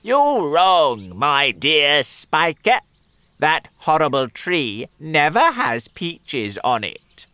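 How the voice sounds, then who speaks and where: Reader doing a big loud greedy auntie, slow and bossy, storybook voice. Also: mid-range voice, sing-song, smooth, no room sound, voice pushed up, heavily acted. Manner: loud, drawn-out bellowing. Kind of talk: storybook reading.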